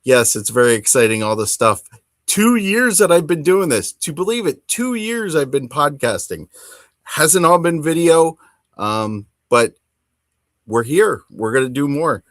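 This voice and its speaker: A middle-aged man hosting a casual hobby podcast, talking enthusiastically in a rambling, halting way. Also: on the high side, sing-song, dry recording, clean studio sound. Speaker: podcast host.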